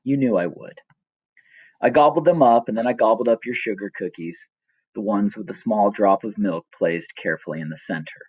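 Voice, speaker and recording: A middle-aged man reading his own personal story as scripted narration, reflective, measured and gently wry. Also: on the low side, breathy edge, no echo, highs cut off.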